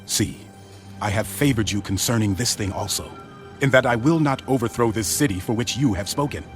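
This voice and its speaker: An actor playing a stern angelic messenger of God, grave and authoritative, in a dramatized audio Bible. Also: male voice, fairly low pitch, a little fast, soft background music, natural voice. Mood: solemn granting.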